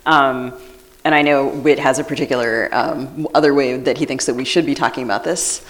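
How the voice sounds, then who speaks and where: Woman w/ a really low voice co-hosting a live podcast, dry and measured. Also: fairly even intonation, rough recording. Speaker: podcast co-host. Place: live event on stage.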